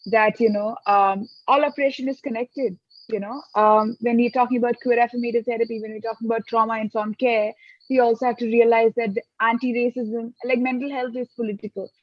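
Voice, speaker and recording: Earnest woman moderator, higher voice, dry and muffled online recording. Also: steady tone, brisk, no echo. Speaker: panel moderator. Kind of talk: conference panel discussion.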